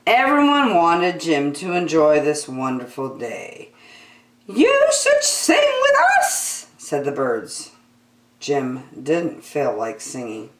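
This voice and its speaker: A woman reading a picture book aloud to children as a storyteller, in an expressive style. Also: sits low. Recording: roomy, echoey sound.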